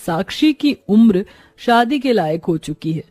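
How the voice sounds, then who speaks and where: Slow, mellow female storyteller. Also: medium pitch, expressive, no room sound, soft background music. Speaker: story narrator. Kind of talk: scripted moral story narration.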